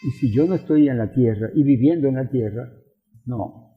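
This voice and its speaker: A man's voice: medium pitch, moderate pace, slightly rough. An older man with a Venezuelan accent, explaining and reminiscing in a conversational interview, emphatic and given to repeating himself. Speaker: interview guest, professor and politician. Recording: roomy reverb, thin phone-like sound, faint music.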